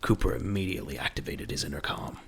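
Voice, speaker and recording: A man's voice: extremely low pitch, fast talker, creaky, breathy edge. A man narrating a scripted audio drama in a dramatic storytelling style.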